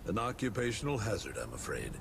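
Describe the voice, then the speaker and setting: An actor playing an older, folksy frontier priest in a video game, measured and pious with a wry, fatherly manner. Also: lowish voice, very animated, gravelly, background noise. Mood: gently wry. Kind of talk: video game cutscene acting.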